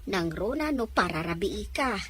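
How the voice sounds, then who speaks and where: A woman acting an older Ilocano landlady, nosy but motherly, with a husky voice. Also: medium pitch, big pitch swings, moderate pace, slight background noise, natural voice. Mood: nosy, approving. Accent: Filipino, Ilocano.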